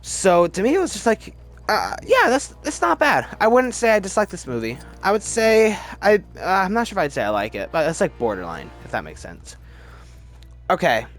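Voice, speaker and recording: A man talks as a video host in a casual, unscripted way. His voice sits a little high and swings widely in pitch as he gives opinions, often restarting a thought midway. Faint music plays behind him. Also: wildly swooping pitch, normal speed. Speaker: video host reviewing films. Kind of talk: casual ranking commentary, off the cuff.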